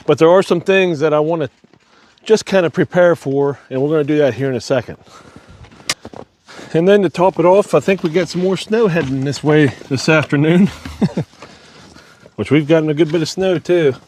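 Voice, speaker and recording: A man's voice: a little high, normal speed, long pauses, a little mellow, slight background noise. A man who is losing his voice, chatting casually about his farm and woodstove, laughing between remarks. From Ohio. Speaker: homestead vlogger. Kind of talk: casual vlog walkthrough. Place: farm outdoors and basement.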